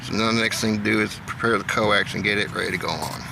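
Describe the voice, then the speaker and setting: Low, creaky-voiced American man. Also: fairly even intonation, fast talker, noisy background. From Indiana, United States. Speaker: amateur radio hobby vlogger. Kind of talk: casual how-to demonstration. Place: outdoors.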